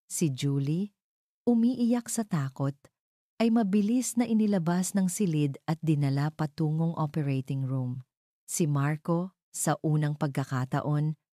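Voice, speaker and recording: A woman's voice: low-pitched, warm tone, no room sound, studio quality. A woman reading dramatic scripted narration for an audio drama.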